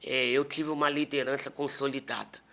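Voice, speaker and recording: A man's voice: mid-range voice, little pitch movement, moderate pace, gravelly, a bit bright. A man with a Brazilian accent telling personal stories in a casual podcast conversation as a pastor. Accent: Brazilian Portuguese.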